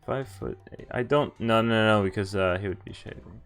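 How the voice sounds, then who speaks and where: Low-voiced man streaming a game. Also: normal speed, creaky, fairly bright tone, smooth. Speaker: streamer playing a visual novel. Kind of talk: casual game stream, reading dialogue aloud with commentary. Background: game music.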